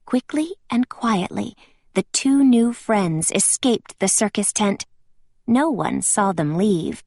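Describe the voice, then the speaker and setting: A woman reading a children's storybook aloud with expressive, deliberate phrasing. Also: mid-range voice, dry recording, clean studio sound.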